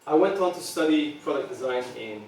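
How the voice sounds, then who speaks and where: A man in his thirties from Serbia giving a presentation about his company, talking in a halting way with many ums and restarts. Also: a little high, expressive, a little mellow, a little hiss or hum. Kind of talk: presentation talk. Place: event space with an audience. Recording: echoey room, mic far off.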